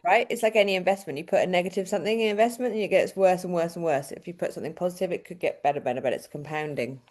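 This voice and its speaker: A woman's voice: fairly low pitch, rapid-fire, a little mellow. A Swedish-born woman with a British accent who hosts an interview and asks searching questions, rephrasing as she goes. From Sweden. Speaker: podcast host. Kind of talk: interview conversation.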